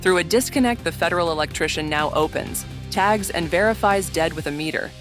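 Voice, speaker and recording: Measured story narrator over music. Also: medium pitch, fairly bright tone. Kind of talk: scripted narration of an audio drama.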